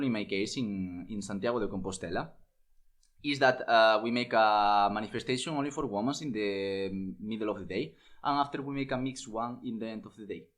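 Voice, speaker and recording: A twenty-one-year-old man from Spain, a volunteer guest speaking haltingly with frequent fillers. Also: on the low side, a bit bright. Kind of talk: casual podcast discussion.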